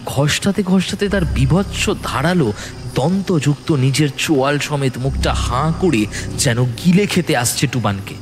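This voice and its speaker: A man narrating a scripted horror story in a dramatic, expressive and suspenseful storytelling manner. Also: a little high, a little slow, background noise.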